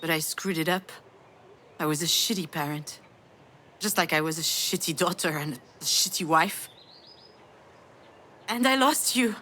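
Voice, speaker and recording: A woman playing a sardonic, self-blaming yet tender mother in a dramatic video game, frank and emotionally expressive. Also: low voice, a little slow, long pauses, a little hiss or hum. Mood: guilt-ridden, sorrowful. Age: adult.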